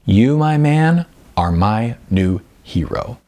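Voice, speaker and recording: A man's voice: mid-range voice, expressive, slow pace, darkish tone. A man voicing an earnest, frustrated woman, an aspiring actress, in an audiobook. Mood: admiring. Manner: earnest, emphatic. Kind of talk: audiobook character dialogue.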